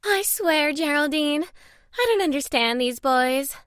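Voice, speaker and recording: An exasperated older mother grumbles with affection as a woman narrator plays her. Her pitch is raised, and the sound is very dry. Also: animated, slow pace, fairly bright tone, dry recording, exaggerated voice. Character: exasperated, fond older mother, gossiping friend. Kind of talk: audiobook character dialogue.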